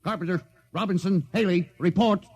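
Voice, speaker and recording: An actor playing a desperate, urgent starship captain in a 1950s radio drama. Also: male voice, sits high, normal speed, vocal fry, a little mellow, husky, full character voice. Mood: frantic. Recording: noisy vintage 1950s radio recording.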